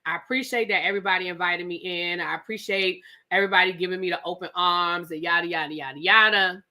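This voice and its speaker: A woman's voice: on the low side, fast talker. A woman hosting a live TV recap, telling the story casually and acting out the quotes, with some swearing. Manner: animated storytelling, acts out quotes; profane, conversational. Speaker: TV show reviewer.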